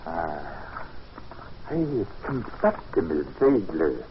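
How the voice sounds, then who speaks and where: A practical, God-fearing Scottish foreman speaks with lively, swinging intonation. He is brisk and dutiful, in an old radio play with a dull sound and faint noise. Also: sits low, heavily acted. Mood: solemn, resolute. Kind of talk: old-time radio drama acting.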